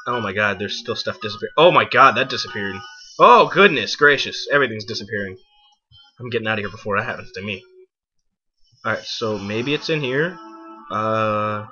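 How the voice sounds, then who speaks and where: A young man giving casual, excitable let's play commentary, exclaiming and talking to the game and himself. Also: mid-range voice. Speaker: gaming video host. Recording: muffled lo-fi mic, game music behind. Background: video game music.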